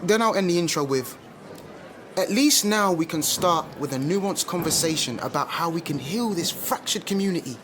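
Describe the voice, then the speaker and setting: A man's voice: fairly high pitch, animated, normal speed, a bit bright, slight background noise. An actor playing a confident, street-smart young man with a London accent in a radio drama, slangy and opinionated. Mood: upbeat. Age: young adult. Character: confident young British man, outspoken musician-turned-speaker, street-smart. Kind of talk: naturalistic radio drama dialogue.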